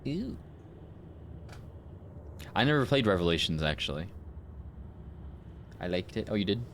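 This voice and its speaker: Male streamer, lowish voice, casual off-the-cuff chat. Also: big pitch swings, unhurried, a bit bright, a little hiss or hum. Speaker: streamer and voice actor.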